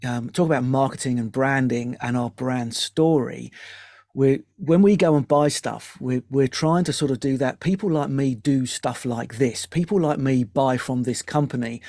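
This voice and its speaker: A man's voice: mid-range voice, darkish tone, no echo, studio quality. A man giving earnest, explanatory interview answers as a music business founder, often voicing imagined customers to make his points.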